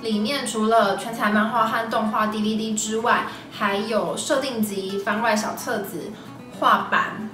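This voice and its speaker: A woman's voice: a little high, steady tone, unhurried. Echoey young Taiwanese Mandarin-speaking woman vlogger. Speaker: video host. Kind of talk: scripted recommendation vlog. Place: small indoor room. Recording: echoey room, slightly distant mic. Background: background music.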